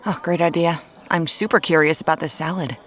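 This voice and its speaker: An actress playing an inquisitive young American amateur detective with a dry, wry manner in an audio drama. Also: female voice, sits low, steady tone, brisk, a bit bright. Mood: wry, probing. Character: inquisitive, dryly funny amateur detective woman.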